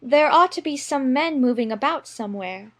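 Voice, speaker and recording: A polite, inquisitive young girl, acted by a woman in a children's audiobook. Her voice is very high and sounds earnest and innocent, with faint music underneath. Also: lively intonation, moderate pace, a bit bright. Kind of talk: dramatized children's audiobook acting.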